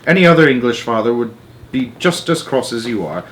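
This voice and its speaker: A man voicing a calm, matter-of-fact old grandmother with a sly streak, in a storybook. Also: medium pitch, fairly quick, slight background noise, close to an everyday voice, poor audio.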